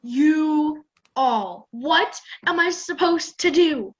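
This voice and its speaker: A very high-pitched, whiny teenage girl acted by a young woman in an amateur radio play. She complains dramatically and takes her time over the words, full of self-pity. The recording is dull and lo-fi. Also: lively intonation, drawn-out words, full character voice. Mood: indignant. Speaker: student radio play performer.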